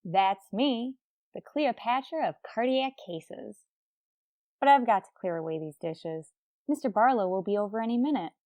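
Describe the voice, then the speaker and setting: An actress playing a wry, lovelorn schoolteacher with deadpan, sarcastic wit in an old-time radio comedy. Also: female voice, a little high, moderate pace, no room sound, lightly acted. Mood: wry, hopeful.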